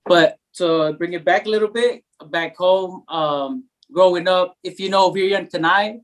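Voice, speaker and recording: A man's voice: sits high, unhurried. Laid-back man chatting on a podcast. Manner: laid-back, with many fillers. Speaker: guest. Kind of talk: casual interview chat.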